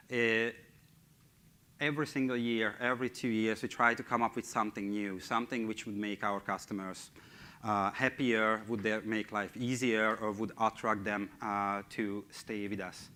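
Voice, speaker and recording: Hungarian guy giving a conference talk, kinda low voice, lots of uhs, speaking on a stage mic. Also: bright, forceful tone. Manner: hesitant, many fillers, non-native phrasing. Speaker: conference speaker, engineering team lead. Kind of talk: tech conference presentation. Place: large conference hall stage.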